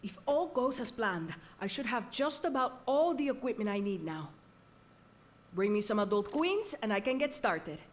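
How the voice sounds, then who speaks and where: An actress plays a young woman scientist working through a zombie outbreak in a video game. Her voice sits on the high side, earnest and businesslike, with clear noise behind it. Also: animated, normal speed, natural voice. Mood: focused, relieved.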